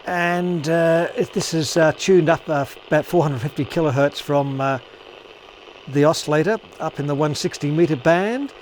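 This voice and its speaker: A man's voice: on the high side, normal speed, a little hiss or hum, low-quality recording. A man giving a casual workshop demonstration, thinking aloud with some hesitation. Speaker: hobbyist radio repairer and presenter.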